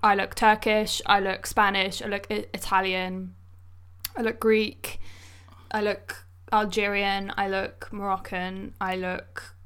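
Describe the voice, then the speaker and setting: Woman, podcast co-host, smooth clear voice, informal and reflective conversational delivery. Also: mid-range voice, flat delivery, slow pace, fairly bright tone. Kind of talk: casual conversational discussion.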